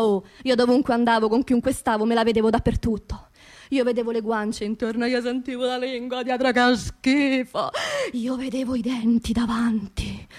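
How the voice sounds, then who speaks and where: A woman's voice: fairly high pitch, darkish tone, close to an everyday voice. An actress playing an anxious, grieving working-class woman in a theatrical radio monologue, with a Roman accent and an emotional, confiding manner. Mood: fearful, hushed. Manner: emotional, confiding, repeats words insistently.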